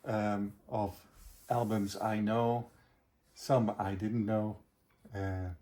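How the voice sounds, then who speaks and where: Middle-aged man in halting, casual chat. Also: lowish voice, level tone, dark tone. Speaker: video host. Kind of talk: casual gear review chat.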